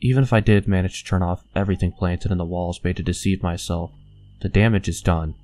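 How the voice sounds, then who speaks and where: A young man playing a gentle, concerned friend in a comic dub, speaking in a hesitant, earnest way. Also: low voice, fairly even intonation, fairly quick, a little hiss or hum, natural voice. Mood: somber. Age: young adult. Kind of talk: animated comic dub acting.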